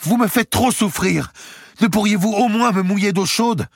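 A man reading aloud puts on a deposed king pleading with his captors, his voice pushed high and strained with fear. The grain stays gravelly and husky, and the sound is close and dry. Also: normal speed, no room sound, heavily acted. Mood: anguished.

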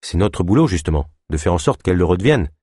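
A secretive male colleague in an audiobook, voiced by a man reading. He sounds matter-of-fact and evasive yet reassuring, in a very low, husky voice with a dry, close sound. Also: sing-song, quick pace, warm tone, no room sound, exaggerated voice. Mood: guarded, reassuring. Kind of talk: audiobook character acting.

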